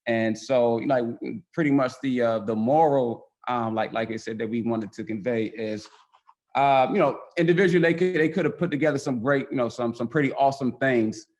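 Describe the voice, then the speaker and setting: Man, medium pitch, casual guest presenter explaining a story haltingly. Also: darkish tone. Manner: hesitant, repeats phrases. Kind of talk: casual explaining of a picture book.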